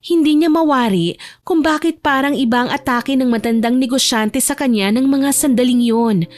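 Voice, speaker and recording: A woman's voice: high voice, normal speed, a little mellow, no room sound, clean studio sound. A woman narrator delivering dramatic scripted storytelling for an audio drama.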